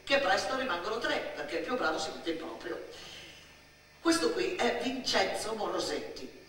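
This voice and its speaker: A middle-aged woman delivering a theatrical storytelling monologue, expressive and emphatic, with dramatic pauses. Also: lowish voice. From Italy. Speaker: stage actress and storyteller. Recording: very echoey, distant mic, faint noise.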